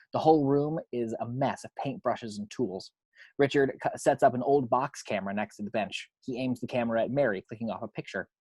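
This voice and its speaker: A man's voice: mid-range voice, darkish tone, no echo. A man narrating screenplay action lines at an amateur table read in a matter-of-fact storytelling way.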